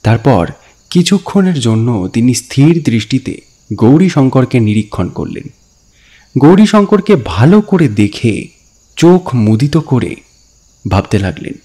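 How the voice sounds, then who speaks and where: A man narrating a scripted story dramatically, in a deliberate, expressive storytelling manner. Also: mid-range voice, breathy edge. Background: background music.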